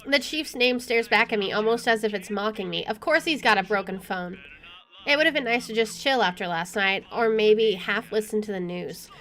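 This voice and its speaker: A woman's voice: mid-range voice, moderate pace, a bit bright, faint music behind. A woman hosting a gaming video, expressively reading story text aloud and adding casual asides.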